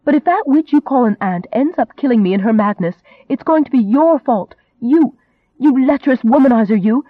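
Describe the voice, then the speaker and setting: A man voicing a proud, sharp-tongued young Englishwoman in an audiobook, indignant and biting. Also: high voice, normal speed, dry recording, narrow, tinny audio. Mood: furious.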